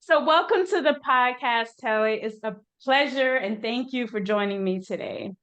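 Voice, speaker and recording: Woman, podcast interviewer, a little higher-pitched, casual conversational delivery. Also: normal speed, a bit bright, highs cut off.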